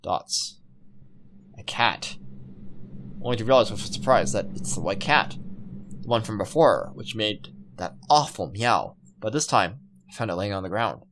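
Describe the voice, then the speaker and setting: A man's voice: fairly low pitch, expressive, unhurried, noisy background. A man hosting a gaming video, reading a horror visual novel aloud as dramatic narration.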